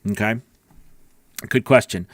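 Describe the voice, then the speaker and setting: A man's voice: fairly low pitch, measured pace, a bit bright, no echo. American man chatting, close dry studio sound. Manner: chatty, informal, thinking aloud. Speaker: host, voice-over coach. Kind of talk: casual livestream Q&A, reading and answering viewer questions. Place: small home recording studio. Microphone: large studio microphone on a boom arm, close.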